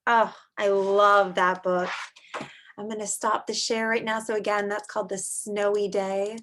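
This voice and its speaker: A woman's voice: mid-range voice, level tone, a little slow, a bit bright. A woman reading aloud to young children like a teacher, drawing out the story words expressively and adding chatty asides and questions.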